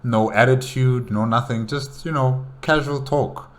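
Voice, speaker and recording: A man hosting a solo reaction video with casual, halting, musing commentary. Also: mid-range voice.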